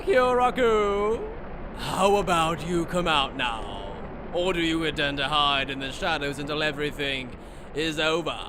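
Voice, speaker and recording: A man's voice: on the high side, lightly acted. A man acting a sadistic, gloating aristocrat villain in an anime fan-dub, with a theatrical, mocking, cackling delivery. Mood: taunting. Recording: clearly noisy background.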